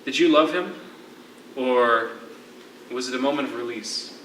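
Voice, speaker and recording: A man's voice: medium pitch, a little slow, fairly bright tone, natural voice. A man acting in a stage play as a sardonic, teasing fellow prisoner, with wry asides and theatrical emphasis. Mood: probing. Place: theatre stage, live performance. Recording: echoey stage recording, distant, faint noise.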